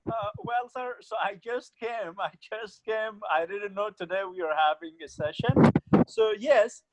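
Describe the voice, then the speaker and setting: A man's voice: high-pitched, measured pace, darkish tone, poor audio. Hesitant young man. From Afghanistan. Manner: hesitant, many fillers and restarts. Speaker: student. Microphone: computer mic on a video call.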